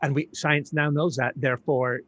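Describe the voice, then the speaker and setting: A man's voice: medium pitch, steady tone, moderate pace, fairly bright tone, muffled recording. A man hosting an interview, talking thoughtfully and hesitantly and often restarting his sentences. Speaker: host. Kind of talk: casual interview conversation.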